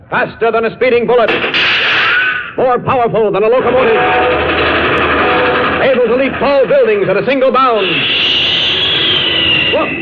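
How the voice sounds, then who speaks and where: Male 1940s serial announcer, high and emphatic, on a noisy vintage radio recording. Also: lo-fi sound. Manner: dramatic, emphatic.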